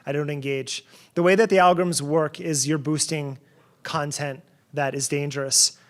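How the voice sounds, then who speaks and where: Man, middle-aged, from New Jersey, keynote speech, chatty and sarcastic, slightly high voice. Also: fairly even intonation, normal speed. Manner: conversational, sarcastic asides, frequent fillers and restarts. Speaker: keynote speaker.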